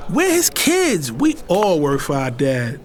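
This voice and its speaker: A man's voice: on the high side, huge pitch swings, takes it slowly, soft background music, exaggerated voice. A man playing a sarcastic, teasing rich young man in an audio drama, playfully blunt.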